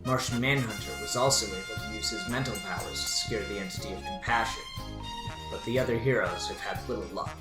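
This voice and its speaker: Very low-voiced woman announcer over loud music. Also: quick pace. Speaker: recap announcer. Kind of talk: scripted dramatic recap narration. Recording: echoing voice under loud guitar music.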